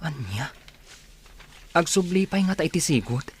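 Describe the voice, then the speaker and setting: A man's voice: a little high, sing-song, a little slow, slight background noise. A man acting a helpful, good-natured working-class older brother in radio drama, with an Ilocano accent. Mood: concerned.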